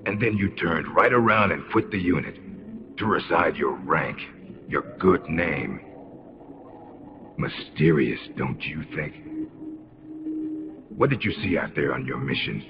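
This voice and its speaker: An actor playing a menacing military interrogator in a video game, smugly polite and sadistic, with a gravelly, slow, deliberate delivery. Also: male voice, rumbling low, expressive, noisy background, exaggerated voice. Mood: probing, menacing. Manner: slow, deliberate, mock-courteous. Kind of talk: dramatic video game acting. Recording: dull lo-fi game audio, loud music.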